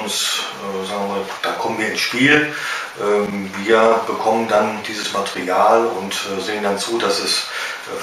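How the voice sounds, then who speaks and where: A middle-aged man with a Ruhr German accent speaks in a somewhat low voice, pausing often on filler sounds. He is picked up from a few feet away, so his voice carries strong room echo. A steady hiss of noise runs underneath. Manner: halting, many filler sounds. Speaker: small business owner. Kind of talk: trade fair interview statement. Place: indoor room with shelves. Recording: roomy echo from a distance.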